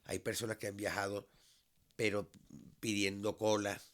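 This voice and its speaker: A Venezuelan man in his fifties, a teacher, speaking in a measured, earnest monologue that has frequent restarts. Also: fairly low pitch, little pitch movement. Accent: Venezuelan Spanish. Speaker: vlog host, high school teacher. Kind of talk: reflective solo monologue.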